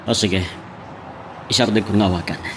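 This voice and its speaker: A man's voice: fairly low pitch, fairly even intonation, moderate pace, fairly bright tone, a little hiss or hum, close to an everyday voice. A man with an Ilocano Filipino accent playing a worried father in an audio drama. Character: worried father waiting on his absent wife. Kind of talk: Ilocano radio-style drama acting.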